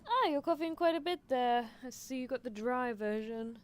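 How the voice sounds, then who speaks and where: Woman playing a high, lilting mad-scientist girl. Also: animated, normal speed, slight background noise. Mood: smugly possessive. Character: obsessive, teasing mad-scientist girl with a captive test subject. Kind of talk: roleplay ASMR.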